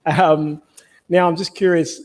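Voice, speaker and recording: A man's voice: fairly high pitch, level tone, slow. A man moderating a conference panel on stage, upbeat and encouraging with his guests.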